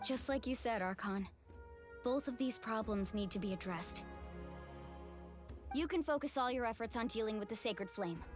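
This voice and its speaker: A determined young warrior woman, played by an actress, speaks briskly and resolutely in a fairly high voice. Game music plays clearly behind her. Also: lightly acted.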